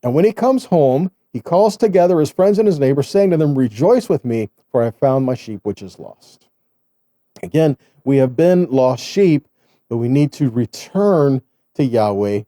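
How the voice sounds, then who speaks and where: A man's voice: medium pitch, expressive, normal speed, mellow, no room sound, clean studio sound. A man giving a conversational sermon as a Bible teacher, reading scripture and explaining it with rhetorical questions and frequent check-in tags.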